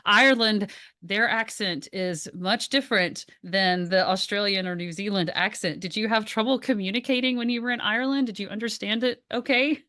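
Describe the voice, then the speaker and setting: A woman with a Southern American accent hosting an interview, asking questions and chiming in with quick agreement. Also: medium pitch, pushed and bright, dry recording, studio quality. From Southern United States. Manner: friendly, quick affirmations and laughter. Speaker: podcast host.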